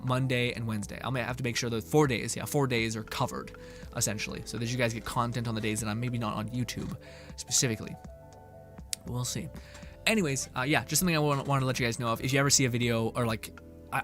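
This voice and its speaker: A man streaming and chatting casually with viewers, rambling with many restarts, his voice a little hoarse. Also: mid-range voice, a bit bright. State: slightly hoarse. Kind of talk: casual stream chat, reading chat.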